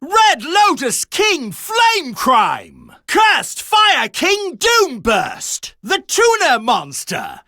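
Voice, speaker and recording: A man's voice: very high-pitched, huge pitch swings, very slow, hardly any pauses, pushed and bright, dry recording, studio quality, exaggerated voice. A man playing a proud, domineering champion duelist in an anime video game, declaiming battle callouts in an imperious, theatrical way. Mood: bold, commanding. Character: proud, domineering male champion duelist summoning dragons and fiends.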